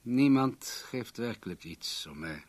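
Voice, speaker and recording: An actor in a Dutch radio drama playing an imperious, self-satisfied millionaire with a grand, commanding manner. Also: male voice, mid-range voice, animated, a little slow, a little mellow. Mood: grandiose, confiding.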